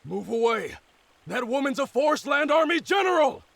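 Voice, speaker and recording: A man's voice: very high voice, very animated, moderate pace, vocal fry, a bit bright, no echo, full character voice. A man playing a stern, skeptical military man in a video game, speaking in a clipped, practical way. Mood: alarmed. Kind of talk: video game cutscene acting.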